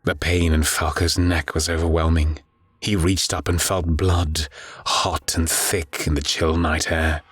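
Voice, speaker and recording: A man narrating a dark war story in a grave, deliberate, dramatic audiobook style. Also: a bass voice, level tone, husky, dry recording.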